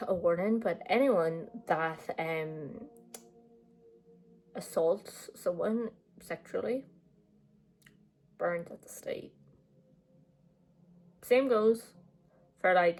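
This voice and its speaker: Young Irish woman vlogger, a bit low-pitched, blunt, chatty, laughs between points. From Ireland. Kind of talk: casual chat to camera.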